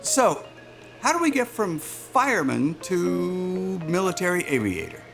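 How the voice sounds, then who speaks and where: An actor playing an affable, chatty male corporate interviewer in an audio drama, jovial and smooth, with hesitant asides. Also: high-pitched, very animated, unhurried, over music, exaggerated voice. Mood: casual, probing. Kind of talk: naturalistic audio drama acting.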